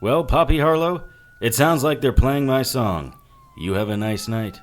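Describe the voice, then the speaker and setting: An actor playing a tough, sardonic 1940s detective hero in an audio drama, tossing off hardboiled quips. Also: male voice, medium pitch, a little slow, lightly acted. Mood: wry, casual. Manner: wry, hardboiled, offhand quips. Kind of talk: full-cast period audio drama acting.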